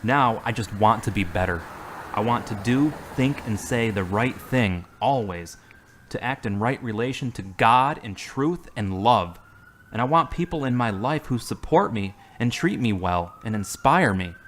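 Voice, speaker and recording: A middle-aged actor playing an earnest, devout man recovering from surgery in a radio drama, speaking in a measured way. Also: lowish voice, lively intonation, a bit bright, a little hiss or hum.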